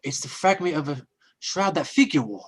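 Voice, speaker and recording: A man acting as a polite, earnest young man in an old-time radio chiller. He sounds husky and quite animated, deferential yet uneasy. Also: on the high side, moderate pace. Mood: alarmed.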